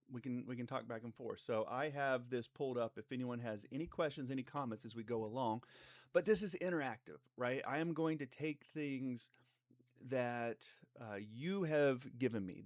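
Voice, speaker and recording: A man's voice: medium pitch, no echo. Congested man hosting a live chat. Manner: relaxed and meandering, many fillers and restarts. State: congested from a cold. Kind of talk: casual live-stream talk to viewers.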